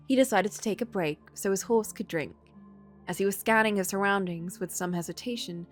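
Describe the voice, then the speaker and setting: A woman livestreamer reading a visual novel aloud, moving between story narration and casual commentary. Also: medium pitch.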